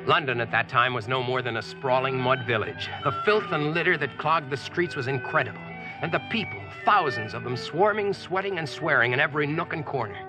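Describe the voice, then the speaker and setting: A man's voice: medium pitch, brisk, lightly acted, low-quality recording. An actor playing a practical modern American man among knights, narrating and speaking plainly in 1940s radio style. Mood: reflective narration. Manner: plain-spoken, instructive. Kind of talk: 1940s radio acting and first-person narration. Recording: vintage radio drama recording with music.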